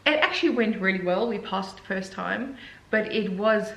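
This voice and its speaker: A woman's voice: mid-range voice, moderate pace, gravelly, fairly bright tone. A woman from South Africa with a South African accent, chatting as an interview guest and laughing easily. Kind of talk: casual interview. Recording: echoey room, faint hiss.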